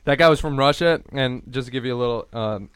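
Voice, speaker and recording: A man's voice: mid-range voice, quick pace, a bit bright, smooth. A young man co-hosting a crude comedy radio show, riffing on TV clips with mocking put-on voices. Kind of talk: casual crude banter.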